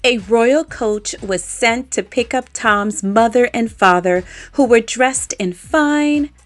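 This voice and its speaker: A woman telling a children's bedtime story aloud in an expressive way, adding playful sound effects. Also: on the high side, takes it slowly, no echo, soft background music.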